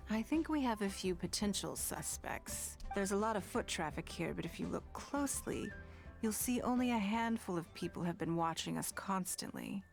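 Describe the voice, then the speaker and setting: An actress playing a shrewd, composed noblewoman and political leader in a video game, speaking formally and deliberately. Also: medium pitch, animated, vocal fry. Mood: calm, watchful. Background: background music.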